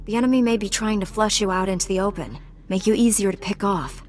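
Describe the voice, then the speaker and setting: A mid-pitched female voice from an actress as a heroic game knight. She is composed and confident, speaking with resolve. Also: quick pace, a little hiss or hum, natural voice. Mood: calm, resolute. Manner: resolute, assured. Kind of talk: video game voice acting.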